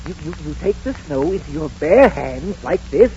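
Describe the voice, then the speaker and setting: A humble clerk, very high swoopy voice, eager, old radio drama. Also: male voice, normal speed, slight background noise. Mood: jolly. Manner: excitable, deferential. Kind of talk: 1930s radio acting. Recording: muffled 1930s radio recording over music.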